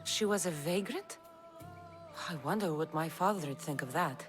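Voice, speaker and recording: A woman's voice: sits low, expressive, moderate pace, faint music behind. An actress playing a tough, defiant young female mercenary in a video game, blunt and coolly confident. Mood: probing. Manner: cool, blunt, dryly confident. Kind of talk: video game cutscene acting.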